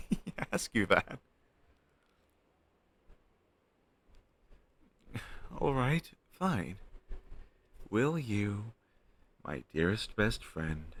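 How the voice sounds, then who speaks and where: A young man's deep, creaky voice acting out a lovestruck best friend in a romantic audio roleplay. He takes his time with each phrase, halting and tender, with a shy, teasing edge and some rasp in the tone. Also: no room sound, full character voice.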